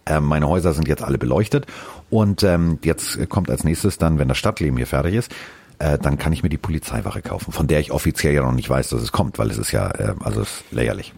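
A man with a very low, husky voice, recorded dry and close. He chats casually as a podcast co-host, blunt and slangy, with a teasing streak. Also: fast, a little mellow, dry recording.

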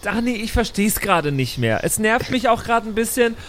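A man's voice: high voice, big pitch swings, a little fast, over a noisy crowd. A man voicing an irritated, stressed young woman in an improvised audio roleplay, whiny and scolding. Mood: annoyed.

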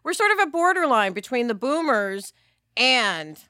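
A woman's voice: on the high side, big pitch swings, moderate pace, sharp tone, no room sound, studio quality. A middle-aged woman co-hosting a podcast in a candid, talkative, emphatic conversational way. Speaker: podcast co-host.

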